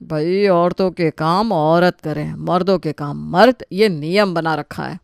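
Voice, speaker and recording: A man playing a smug older brother in a family comedy story, with sarcastic, drawn-out teasing. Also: on the high side, gravelly, clear, resonant tone, dry recording, studio quality. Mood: scornful.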